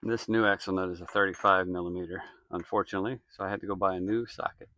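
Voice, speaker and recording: A man's voice: low-pitched, steady tone, quick pace. A man giving a casual, plainspoken how-to while he works with his hands, with noise and clicking behind him. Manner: plainspoken, folksy, talks while working. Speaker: DIY mechanic narrating a repair. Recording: clear background noise, clicks. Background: clicks and noise of repair work.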